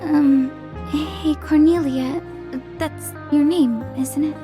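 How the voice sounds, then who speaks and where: A woman playing a hesitant, gentle, earnest young woman in a visual novel game, speaking haltingly. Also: high-pitched, fairly even intonation. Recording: dry voice with music behind.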